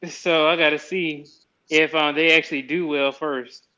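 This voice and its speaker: A man's voice: a little high, little pitch movement, moderate pace, sharp tone, clean tone, muffled recording. A man on a live panel talking easygoingly about maybe starting a channel. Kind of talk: casual chat.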